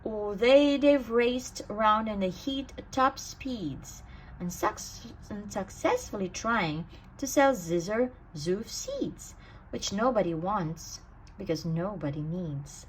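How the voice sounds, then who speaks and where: A woman reading a rhyming children's bedtime story aloud in an expressive, sing-song way with emphatic stresses. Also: medium pitch, slow pace, slight background noise, poor audio. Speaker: storybook reader.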